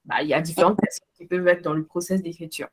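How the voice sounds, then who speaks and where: A woman's voice: lowish voice, steady tone, quick pace, slightly rough. A woman interviewing a guest in a casual, friendly way, thinking aloud with many fillers.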